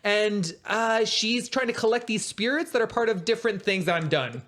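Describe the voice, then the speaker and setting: A man's voice: sits high, lively intonation, moderate pace, fairly bright tone. A man co-hosting a podcast, talking casually. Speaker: podcast co-host. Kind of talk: casual chat.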